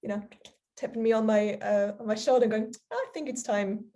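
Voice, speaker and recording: A woman's voice: a little high, fairly even intonation, fairly quick, mellow. A woman, answering interview questions in a hesitant, casual way with many ums and easy laughter. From Germany. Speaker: guest, charity founder.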